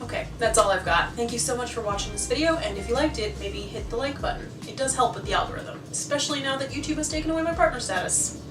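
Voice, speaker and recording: American female vlog host, higher voice, chatty and keen, recorded off-mic with music behind. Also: expressive, fast talker, echoey room, distant mic. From United States. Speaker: video host. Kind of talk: scripted recommendation vlog, casual chat.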